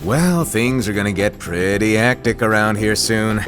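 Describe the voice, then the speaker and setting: A man's voice: fairly low pitch, moderate pace, over music, natural voice. An actor playing a playful, mysterious male mentor in a video game cutscene, talking in a breezy, teasing way. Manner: breezy and teasing, then ominous warnings. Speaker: master of apprentices.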